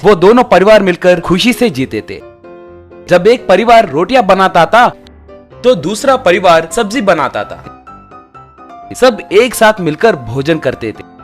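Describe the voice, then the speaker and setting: A man's voice: sits high, very animated, a little slow, long pauses, soft background music. A man narrating a scripted, children's-style story.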